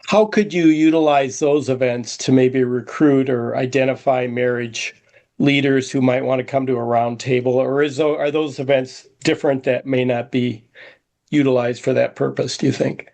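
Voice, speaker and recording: A man's voice: medium pitch, steady tone, moderate pace, gravelly, darkish tone. A man on an online meeting asks a follow-up question, circling and qualifying as he goes. His voice is ordinary and mid-range, and his delivery sounds tentative. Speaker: call participant asking a question. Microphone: video call.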